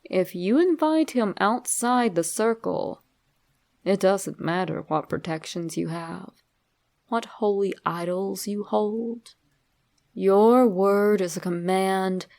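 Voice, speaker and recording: A woman reading a scripted horror story straight to the listener in a dramatic, deliberate way. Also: medium pitch, animated, vocal fry, dark tone. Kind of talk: scripted creepypasta narration, addressed to the listener.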